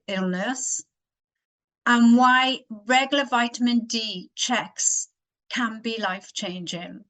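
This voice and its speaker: A woman's voice: on the high side, fairly bright tone, low-quality recording. A woman hosting a wellness interview, introducing her guest in a careful, welcoming way. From Australia. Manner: slow, careful, welcoming delivery. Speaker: podcast host.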